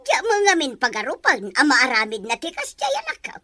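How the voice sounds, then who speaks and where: An actress playing a stern older grandmother with an Ilocano accent, sharp-tongued and defensive, in a melodramatic radio drama. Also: high-pitched, huge pitch swings, fairly quick, dry recording, studio quality, heavily acted. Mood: scornful, defensive.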